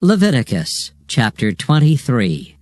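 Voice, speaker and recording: A man narrating scripture from a script in a formal, measured way. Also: medium pitch, wildly swooping pitch, a little mellow, no echo, clean studio sound. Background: faint music.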